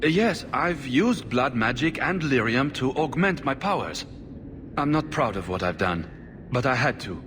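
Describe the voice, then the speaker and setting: A man's voice: mid-range voice, sing-song, measured pace. A man acting a desperate, vengeful blood mage in a video game, intense, defensive and insistent. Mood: grim, determined. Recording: noisy, long-echoing hall.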